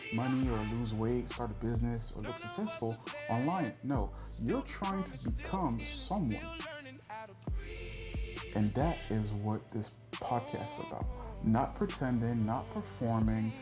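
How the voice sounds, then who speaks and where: A man in his thirties hosting his own podcast, talking in an earnest, measured way about his life, with the odd filler. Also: mid-range voice. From born in the US, raised in Europe. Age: 30s. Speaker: podcast host. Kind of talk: reflective solo podcast monologue.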